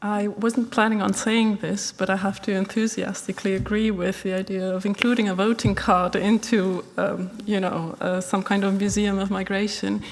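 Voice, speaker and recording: A woman from Romania moderating a discussion panel, lively and inviting. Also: mid-range voice, normal speed, hardly any pauses, slight background noise. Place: large hall stage. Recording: long hall reverb.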